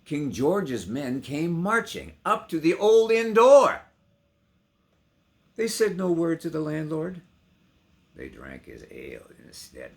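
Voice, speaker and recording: A man giving a theatrical, declamatory poetry recitation with sharp contrasts between hushed and loud delivery. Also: fairly high pitch, wildly swooping pitch, takes it slowly, gravelly, pauses often. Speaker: poetry reader.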